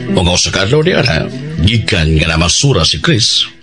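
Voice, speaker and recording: A male radio drama narrator with a Filipino accent. His voice is fairly low and widely inflected, solemn and dramatic, with music playing behind it. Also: sing-song, moderate pace.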